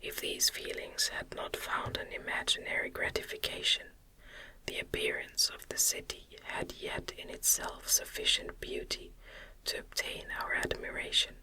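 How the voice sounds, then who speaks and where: Female ASMR reader for gothic literature: fully whispered, very crisp sibilant brightness, gentle and soothing, unhurried phrasing. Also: low-quality recording. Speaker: audiobook reader.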